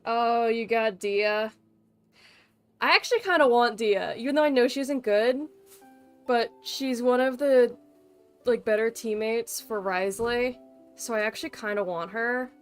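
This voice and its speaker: A woman's voice: on the high side, measured pace, smooth. A woman livestreaming a game, chatting in a casual, rambling way with playful teasing and some swearing. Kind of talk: casual gaming stream chat. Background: music in the background.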